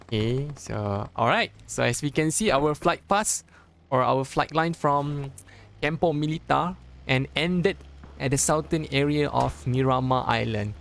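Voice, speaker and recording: A young man from Malaysia with a Malaysian accent, a Malay native speaker casting a live mobile esports match in English, chatty and joking, with halting phrasing and frequent restarts. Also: medium pitch, gravelly, slight background noise. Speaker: esports caster. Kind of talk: live esports game commentary.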